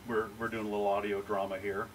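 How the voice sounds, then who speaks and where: A man's voice: fairly low pitch, flat delivery, fast. A man hosting a casual interview, keen and chatty, giving short prompts. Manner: enthusiastic, brief prompts and "right". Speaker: show host, interviewer. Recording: distant from mic with faint noise.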